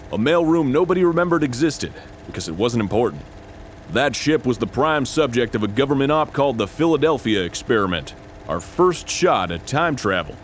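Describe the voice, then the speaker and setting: An actor playing an earnest, dim-witted military commander in a comic video game, briefing with solemn seriousness. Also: male voice, mid-range voice, slight background noise, close to an everyday voice. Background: game music.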